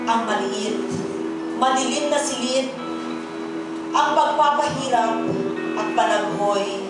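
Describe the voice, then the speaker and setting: A woman with a Filipino accent gives a solemn, dramatic poetry recitation, deliberate and theatrical, with pauses. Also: on the high side. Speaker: poetry reader at a human rights gathering. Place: indoor auditorium event. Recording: very echoey auditorium, distant microphone. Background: plucked guitar accompaniment.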